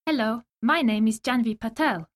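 A woman's voice: on the high side, moderate pace. A woman reading simple scripted sentences and dialogue answers for a language lesson.

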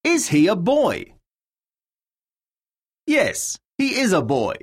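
A woman narrating a scripted English lesson, reading out words, questions and dictation in a deliberate, enunciated way with pauses. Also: medium pitch, wildly swooping pitch, husky.

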